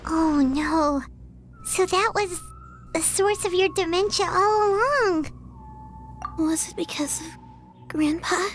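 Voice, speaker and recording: A woman's voice: very high voice, takes it slowly, long pauses, soft background music. A woman voicing an anxious, devoted young granddaughter in a video game, with a childlike, pleading, earnest way of talking. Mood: worried, dismayed. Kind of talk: game voice acting.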